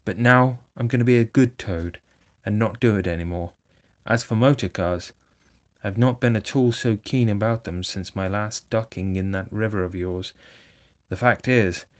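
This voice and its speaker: Conceited, excitable storybook toad, put on by a man reading; pleading and fretful turns. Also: on the low side, lightly acted. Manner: humble, then fretful and pleading. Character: conceited boastful male toad, excitable, easily upset.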